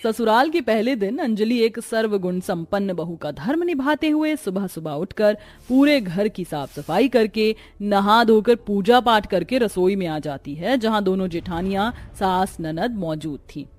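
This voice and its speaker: A woman narrating a scripted cartoon story in an expressive storytelling manner. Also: medium pitch, a little slow, hardly any pauses, dry recording, faint music behind.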